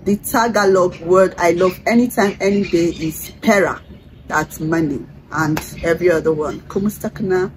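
A woman's voice: fairly low pitch, moderate pace. Chatty young woman hosting a noisy interview. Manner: chatty, laughs often, playful questions. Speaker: host. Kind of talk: casual interview. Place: indoors, room with a red wall and a barred window. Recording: noisy home video.

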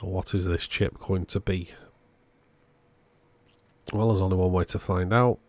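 A man's voice: sits low, fairly even intonation, a little slow, a little mellow. A man narrating a repair job in a casual, matter-of-fact, understated way. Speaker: host of electronics repair channel.

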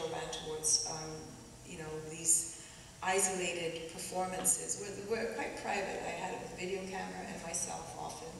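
A woman from Kenya with a Kenyan accent, speaking thoughtfully and haltingly as an artist on a panel stage. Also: on the low side, fairly bright tone, a little hiss or hum. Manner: thoughtful, halting, many pauses and restarts. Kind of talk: reflective onstage conversation. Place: stage in a large auditorium. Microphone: stand mic a little away. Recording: echoey hall, distant mic.

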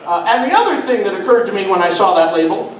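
A middle-aged man performing a sardonic stand-up comedy set on a small club stage, with deadpan asides and lots of "uh" fillers. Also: high-pitched, fast talker, noisy background. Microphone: handheld mic, filmed from the back of the room. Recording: echoey club audio from far off. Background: audience laughter.

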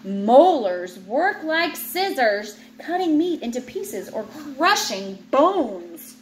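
A woman's voice: sits high, big pitch swings, takes it slowly. A woman in her thirties reading a children's book aloud expressively, like a teacher, breaking off into playful, chatty asides. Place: indoors in an open room at home with high ceiling. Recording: roomy home recording with clear background noise.

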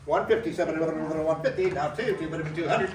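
A man's voice: fairly high pitch, fairly quick, a little mellow. A man working as an auctioneer, calling live bids in a rhythmic, repetitive chant of numbers. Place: indoor metal-walled storage facility corridor. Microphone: camera several metres away. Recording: echoey storage hallway, distant microphone.

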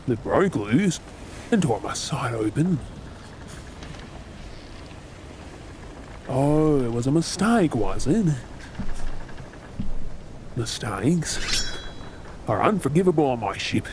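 A man playing a gruff, menacing, sardonic pirate captain in a dramatic audio role play. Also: mid-range voice. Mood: angry, commanding. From Australia. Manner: commanding, swaggering, sardonic. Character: gruff, menacing male pirate captain, secretly soft-hearted. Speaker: ASMR role-play performer. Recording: sound effects and ambient noise behind. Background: ship ambience sound effects.

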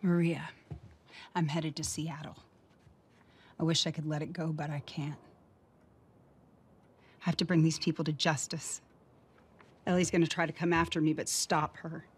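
An actress playing a stern but caring middle-aged settlement leader in a naturalistic game cutscene, speaking plainly and firmly. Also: female voice, sits low, flat delivery, normal speed, long pauses, slight background noise, natural voice. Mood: grim, reading. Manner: plainspoken, firm.

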